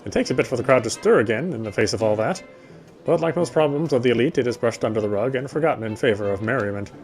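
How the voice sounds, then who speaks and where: A man's voice: medium pitch, quick pace. A man doing a live dramatic reading of first-person story narration from a game. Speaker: let's player reading game narration aloud. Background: faint music.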